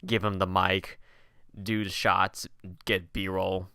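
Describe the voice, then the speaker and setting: A man's voice: low voice, level tone, slow pace, sharp tone, smooth, dry recording, studio quality. A young man co-hosting a podcast in casual chat, talking in a hedging, rambling way. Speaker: podcast co-host.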